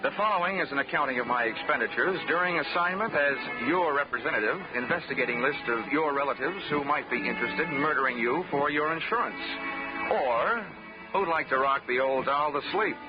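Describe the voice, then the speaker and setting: A man's voice: a little high, a little fast, lightly acted. An actor playing a sardonic male insurance investigator, narrating in 1940s radio drama style with dry wordplay. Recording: muffled 1940s radio recording with music.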